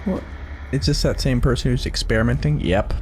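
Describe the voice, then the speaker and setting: A man hosting a reaction video, thinking aloud excitedly as he comments. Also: mid-range voice, brisk, noisy background.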